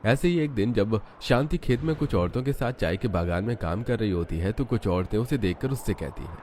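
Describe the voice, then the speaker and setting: Guy narrating a cartoon story, lowish voice, bit of background noise. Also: animated, a little fast, a little mellow.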